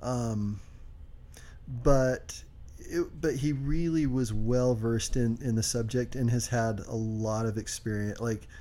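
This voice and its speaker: A man co-hosting a casual podcast, speaking quietly and hesitantly with lots of fillers. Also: mid-range voice, fairly even intonation, takes it slowly. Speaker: podcast co-host.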